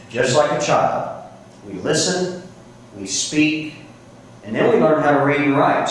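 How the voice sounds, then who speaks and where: Male teacher, middle-aged, American accent; fairly high-pitched, deliberate delivery, heard from afar in a reverberant classroom. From United States. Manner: deliberate, instructive, checking with short questions. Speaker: Spanish teacher. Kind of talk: classroom language lesson. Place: large classroom, indoors. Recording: echoey room, distant mic, faint noise.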